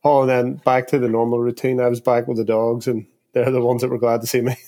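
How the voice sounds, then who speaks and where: A man's voice: medium pitch, flat delivery, quick pace, dark tone. A man with a Northern Irish accent chatting casually and telling stories in a joking, self-deprecating way. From Derry, north of Ireland. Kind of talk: casual conversational storytelling.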